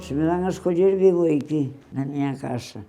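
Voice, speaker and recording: An older man, calmly content with his life at home. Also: on the high side, normal speed, gravelly, soft background music. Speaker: documentary interviewee.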